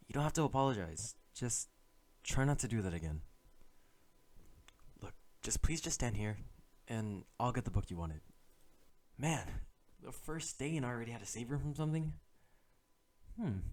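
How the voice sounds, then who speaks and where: A man's voice: mid-range voice, a little mellow, lightly acted. Man acting as an exasperated assassin turned bodyguard. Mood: alarmed, scolding. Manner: polite, formal address mixed with sighing, grumbling inner monologue. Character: exasperated male assassin posing as a dutiful bodyguard. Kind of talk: ASMR audio roleplay acting.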